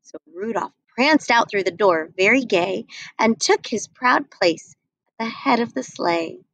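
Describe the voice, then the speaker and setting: A young adult woman reading a rhyming picture book aloud at a desk webcam, slow and expressive. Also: a little high, dry recording, low-quality recording. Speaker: storybook reader. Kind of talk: read-aloud storytelling. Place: small indoor room.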